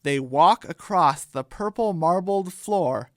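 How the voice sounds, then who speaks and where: A man's voice: high-pitched, animated, slow pace, fairly bright tone, dry recording, studio quality. A man reading scripted narration for a comic audio story as its storyteller.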